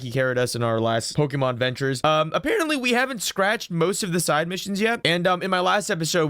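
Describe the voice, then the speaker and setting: A man rattles off excitable let's-play commentary at a quick clip, his voice sitting a bit high and slightly raspy from just waking up. He rambles, stumbles and corrects himself as he goes. The sound is dry and close. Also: no room sound. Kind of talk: casual gameplay commentary.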